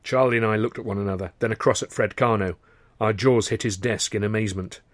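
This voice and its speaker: A man's voice: lowish voice, fairly even intonation, fast, no echo. A man reading a first-person novel as a scripted audiobook narration.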